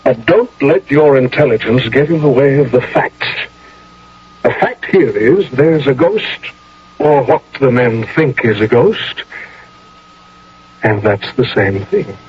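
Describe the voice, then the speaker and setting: An actor playing a seasoned older general in a radio drama, speaking with formal, measured authority. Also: male voice, medium pitch, animated. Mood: firm, weary. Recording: dull, noisy old radio recording.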